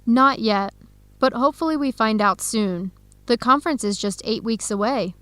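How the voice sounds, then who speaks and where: A woman playing an earnest, heartbroken young American researcher in a radio drama, speaking clearly and conversationally. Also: on the high side, normal speed, fairly bright tone, dry recording, lightly acted. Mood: hopeful. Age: young adult. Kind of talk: scripted radio drama dialogue.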